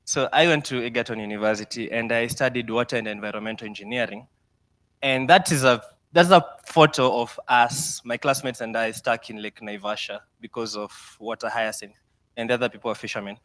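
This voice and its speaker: A young Kenyan man, a startup founder, giving a lively, self-deprecating mentorship talk to students with slides. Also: mid-range voice, brisk, no room sound. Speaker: startup founder guest speaker.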